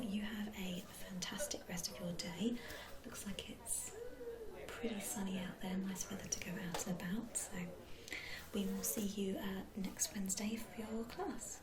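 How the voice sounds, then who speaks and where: A British woman speaks in a soft, breathy whisper. She plays a receptionist in an ASMR roleplay, using gentle, reassuring customer-service patter with endearments. A clear murmur of people sits behind her voice. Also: mid-range voice, fairly even intonation, normal speed, crowd noise. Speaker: ASMR performer playing a club receptionist.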